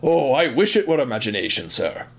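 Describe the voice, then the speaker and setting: Guy playing railway director, earnest and respectful, grave, expressive pitch, radio play. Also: fairly quick, gravelly. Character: railway director, earnest witness backing up a tale. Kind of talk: audio drama acting.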